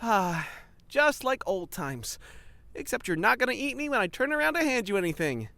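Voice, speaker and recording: Casting: actress as teasing young friend in comedy fantasy drama, creaky highish voice, dry close sound. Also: female voice, sing-song, normal speed, fairly bright tone, no echo. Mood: wry, teasing. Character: wry, teasing young housemate, loyal friend. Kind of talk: audio drama acting.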